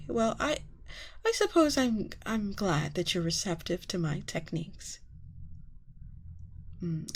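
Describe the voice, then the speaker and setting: A woman playing a seductive, manipulative vampire queen who poses as a therapist in an ASMR roleplay, coaxing and breathy, often slipping into whispers. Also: medium pitch, slight background noise. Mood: sweetly professional, coy. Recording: long reverberant echo on voice.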